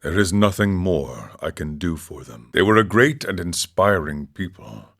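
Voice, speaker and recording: A man voicing an older, serene and wry wandering ascetic monk in a video game, speaking in a solemn, measured, philosophical way. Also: sits low, animated, fairly bright tone, heavily acted. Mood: solemn, wistful. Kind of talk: scripted game character dialogue.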